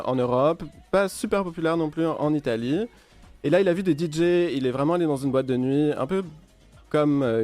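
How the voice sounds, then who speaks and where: A man's voice: mid-range voice, a little mellow. A man with a French accent, a DJ guest on a podcast, explaining in a hesitant way with many fillers. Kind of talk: casual interview, explaining music history.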